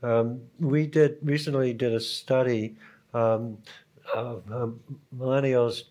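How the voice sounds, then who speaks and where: A Canadian-accented man talks on a conference panel in a relaxed, storytelling way. He drifts into asides and asks the audience questions. His voice sits at an ordinary middle pitch. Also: takes it slowly. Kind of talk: conference panel talk, anecdotal. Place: conference hall.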